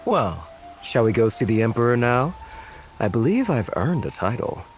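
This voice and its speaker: A man's voice: medium pitch, sing-song, moderate pace, music in the background, natural voice. A man voicing a smug, playful trickster rival in a game, with a theatrical manner. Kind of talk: English game voice acting.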